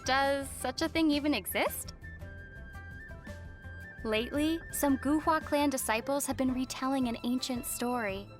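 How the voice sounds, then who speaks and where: A young female adventurer from a video game, gentle and thoughtful. Her voice is high and girlish, delivered softly in a measured, musing way, with music underneath. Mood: lightly curious. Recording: music behind the voice.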